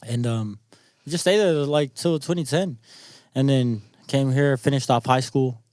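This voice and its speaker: A man's voice: medium pitch, measured pace, a little mellow, dry recording. Slangy young man chatting on a dry mic. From born in New Zealand, grew up in California. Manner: loose, slangy, says "bro" and "man" a lot. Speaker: podcast guest. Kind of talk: casual chat.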